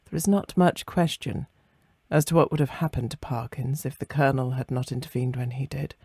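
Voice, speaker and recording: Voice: medium pitch, fairly quick, a little mellow, husky, no room sound. Dry, close storyteller reading a ghost story. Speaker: narrator, story reader. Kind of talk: scripted narration of a classic ghost story.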